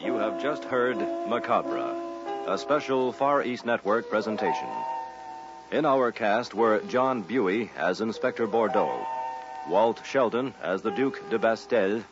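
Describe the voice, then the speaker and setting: A male radio announcer with a lowish voice reads in a formal, scripted manner. Prominent music plays behind him, and the recording is dull and old. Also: animated, a little slow.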